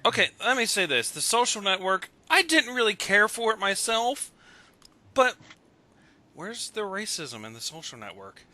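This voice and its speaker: Very bright-voiced man chatting casually. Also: high-pitched, sing-song, moderate pace. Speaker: participant in a recorded online voice chat. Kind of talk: casual chat. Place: recorded Skype call. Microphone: headset in an online voice call.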